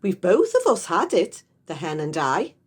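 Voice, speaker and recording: A woman voicing a loud, demanding old grandmother in a children's story, shouting and cackling. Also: lowish voice, wildly swooping pitch, a little slow, husky, full character voice. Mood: gleeful, boastful.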